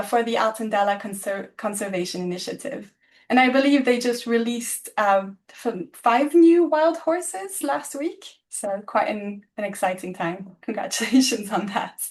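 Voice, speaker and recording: A woman hosting an online webinar on a video call, introducing the speakers in a friendly, slightly halting way and laughing at her own slips. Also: on the high side, darkish tone. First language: not German. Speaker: webinar host.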